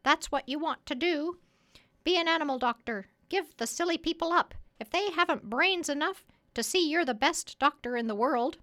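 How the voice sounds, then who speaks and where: High, squawky, bossy storybook parrot. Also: female voice, moderate pace, sharp tone. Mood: bossy, urging. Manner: emphatic, instructive, sure of herself. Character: bossy, proud, clever old female parrot.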